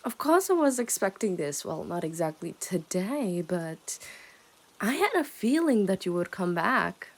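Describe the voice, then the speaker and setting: Slow, smug yandere kidnapper girl, acted by a woman. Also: medium pitch, sing-song. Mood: smug teasing. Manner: slow, teasing, often dropping into whispers. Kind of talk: ASMR roleplay, intimate scripted monologue.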